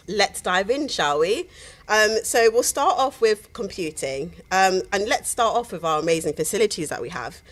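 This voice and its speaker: A woman with a slightly low voice hosts a livestream in an upbeat, chatty manner. She keeps things moving with friendly fillers. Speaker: livestream host. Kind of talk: hosting a university livestream.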